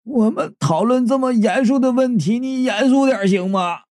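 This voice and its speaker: A man's voice: very high-pitched, normal speed, a little mellow, no room sound, studio quality. A man playing a weeping, begging captive. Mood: whining. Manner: pleading, wailing. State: crying. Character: terrified, tortured man begging for mercy.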